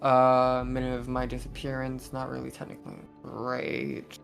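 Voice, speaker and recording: A woman's voice: rumbling low, completely flat delivery, normal speed, vocal fry, over music. A woman playing a tabletop roleplaying game, thinking aloud in casual chat.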